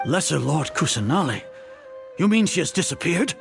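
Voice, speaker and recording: Casting: haughty, suspicious game scholar-ruler. Gravelly, theatrical, highly expressive range, commanding but rattled. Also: male voice, normal speed, soft background music. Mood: alarmed, brooding. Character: imperious male Grand Sage, suspicious, increasingly unnerved. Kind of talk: dramatic video game voice acting.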